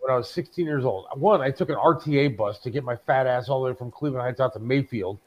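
A man's voice: medium pitch, fast talker. Griping American man, slightly dull audio. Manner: griping, emphatic, swearing. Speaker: talk show co-host. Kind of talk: casual, opinionated chat.

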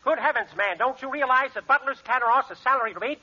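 An actor playing a hotel manager who rattles off confident double-talk gibberish in a 1940s radio comedy. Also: male voice, very high voice, fast, sharp tone, full character voice. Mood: pompous. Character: fast-talking male manager spouting double-talk gibberish. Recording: hissy lo-fi old radio recording.

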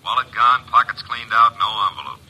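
A wisecracking detective's sidekick. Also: male voice, lowish voice, lively intonation, a little fast, bright, forceful tone, husky, full character voice, rough recording. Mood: brisk. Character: wisecracking young male assistant detective. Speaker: detective's assistant. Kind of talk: 1940s radio acting.

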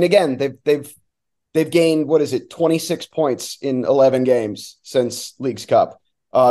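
American man, mellow voice, talking soccer. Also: mid-range voice. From New Jersey, United States. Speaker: radio play-by-play announcer, guest. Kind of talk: casual sports analysis in an interview.

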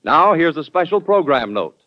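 A man's voice: on the high side, sing-song, normal speed, no room sound. A man with an American accent reading scripted credits as a 1940s radio announcer. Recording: muffled old radio with loud music. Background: loud music.

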